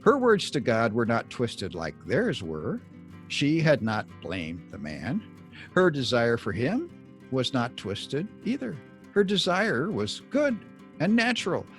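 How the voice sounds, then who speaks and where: A man reading scripted Bible teaching like an audiobook author, deliberate and emphatic, with measured pauses. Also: medium pitch, huge pitch swings, a bit bright, faint music behind. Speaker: author reading own book excerpt.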